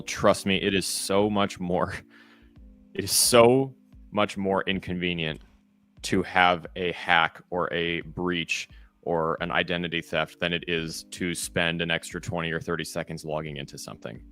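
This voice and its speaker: A man co-hosting a morning podcast in casual chat, with a fairly monotone voice, giving practical advice with lots of ums and you-knows. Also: low voice. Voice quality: relatively monotone voice. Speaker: co-host.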